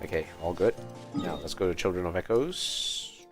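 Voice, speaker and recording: A man giving casual livestream game commentary, thinking aloud in short, unhurried phrases. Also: sits low, loud music behind the voice.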